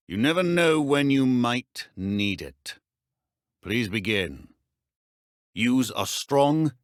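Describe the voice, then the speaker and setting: An actor playing a stern, dry-witted middle-aged British potions professor in a video game, speaking in a measured, exacting, deadpan manner. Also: male voice, medium pitch, no room sound, close to an everyday voice. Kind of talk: scripted game dialogue.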